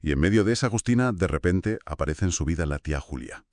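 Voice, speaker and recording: Low-voiced Castilian man discussing books. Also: animated, quick pace, darkish tone, no echo, clean studio sound. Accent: Castilian Spanish. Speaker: co-host of a book discussion. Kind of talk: conversational literary discussion.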